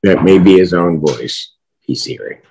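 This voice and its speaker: A middle-aged man with a husky voice, speaking as a judge giving formal rulings in a measured, authoritative manner. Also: low-pitched, animated, mellow, low-quality recording. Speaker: judge presiding over a hearing. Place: courtroom bench, seen on video call.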